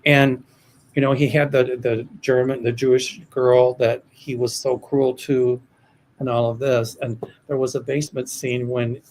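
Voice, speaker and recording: A man's voice: mid-range voice, little pitch movement, unhurried, a little mellow, slight background noise, low-quality recording. An older American man hosting a livestream as a psychic, reading viewer questions in a hesitant, rambling way with many restarts. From United States. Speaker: psychic host of a livestream show.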